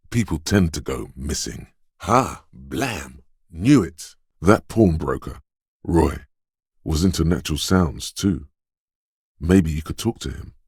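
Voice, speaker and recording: A man voicing the quick-witted, alert inner voice of a detective's reflexes in a video game, narrating dramatically in the second person with a deep, smooth, intense delivery. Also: a little mellow, no room sound, clean studio sound, heavily acted. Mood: alert, wry.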